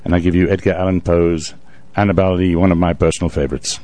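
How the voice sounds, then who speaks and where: A man's voice: deep voice, little pitch movement, fast, dark tone, slight background noise. A man hosting a radio show in a conversational style, speaking as a stage performer would between pieces.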